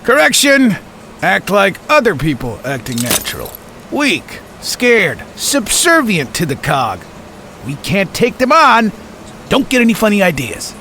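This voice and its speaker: A man playing a nervous, flattering half-human rest-stop keeper in a sci-fi audio drama, with an excitable, theatrical manner. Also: high voice, a little slow, slight background noise, full character voice. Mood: urgent, bossy.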